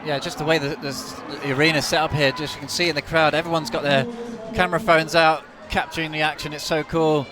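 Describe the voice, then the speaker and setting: Excitable guy co-commentating climbing, slightly high voice, loud arena crowd and noise behind. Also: fairly quick, hardly any pauses. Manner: enthusiastic, excitable, repeats words. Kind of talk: live sports commentary. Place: big climbing competition hall with a large crowd.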